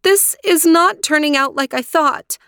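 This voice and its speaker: An earnest young princess of sixteen, played by a woman reading a storybook, pitched high and very emphatic. Her delivery is lively and expressive, headstrong in temper, recorded close and dry. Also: normal speed, no echo. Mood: alarmed, upset. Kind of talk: storybook character voice.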